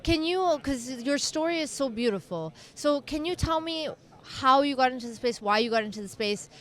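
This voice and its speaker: Woman interviewer, higher voice, enthusiastic, filler-heavy questions. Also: animated, moderate pace. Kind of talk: casual interview.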